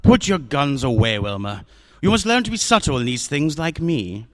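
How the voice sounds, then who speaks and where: An actor playing a fat, genteel, scheming older villain with a florid, unctuous manner in a radio drama. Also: male voice, on the high side, sing-song, moderate pace, background noise. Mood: menacing.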